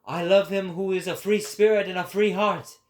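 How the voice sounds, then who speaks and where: A man's voice: sits high, fairly even intonation, normal speed, close to an everyday voice. A man voicing an impassioned, solemn prophet in a dramatic reading, with declamatory and emphatic phrasing. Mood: exalted, solemn. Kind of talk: dramatic reading of philosophical prose.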